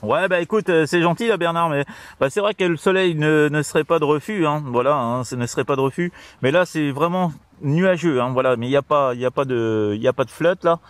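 A man's voice: fairly high pitch, a little fast. A man chatting casually as a CB radio operator, hesitant and repetitive, with constant tag words. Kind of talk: casual radio contact chat. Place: outdoors, crouched in grassy scrubland.

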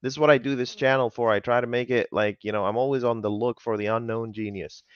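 A man's voice: mid-range voice, fairly even intonation, fast, clean tone, no room sound. Man, 30s, podcast host, chatty, laughs a lot, home office, headset mic. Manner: enthusiastic, laughs often, acts out quotes. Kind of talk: casual video-call interview. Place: home office with monitors, indoors. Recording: dull video-call audio.

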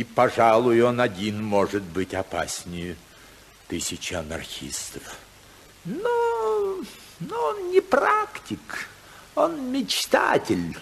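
An actor playing an imperious, stern older tycoon father in a 1950s radio play, commanding and theatrical, with deliberate pauses. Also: medium pitch, a little hiss or hum. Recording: 1950s radio drama recording.

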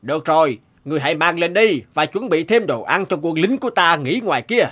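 A young soldier in an animated fairy tale, loyal to his king and brisk in manner. His voice is high for a man, with a bright, forceful edge. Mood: brisk, commanding. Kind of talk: cartoon fairy-tale dubbing.

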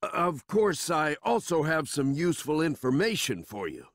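An actor playing a shady, bullying yet cowardly bartender in an English game dub, husky and excitable. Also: male voice, on the high side, moderate pace, dry recording. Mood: cowed pleading.

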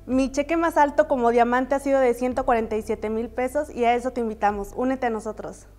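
A woman's voice: on the high side, steady tone, fairly quick, darkish tone. A woman in her thirties with a Mexican accent, giving an enthusiastic testimonial on a lapel mic. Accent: Mexican Spanish. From Chiapas, Mexico. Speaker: company testimonial speaker.

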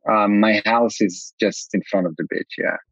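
Relaxed man on a dull, lo-fi video call. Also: fairly low pitch, normal speed, husky, dry recording. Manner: relaxed, many ums and fillers. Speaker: guest, company CEO. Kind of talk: casual video-call interview.

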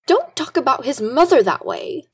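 A woman's voice: sits high, big pitch swings, moderate pace, slightly rough, full character voice. A woman playing a vain, spoiled young town beauty in an audio drama, coaxing and self-important. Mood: coaxing, haughty. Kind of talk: amateur audio drama acting.

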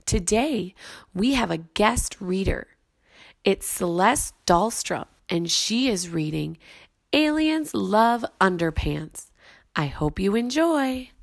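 A woman's voice: medium pitch, expressive, takes it slowly, gravelly, no room sound. A woman, a school librarian and host, giving a short scripted introduction for children.

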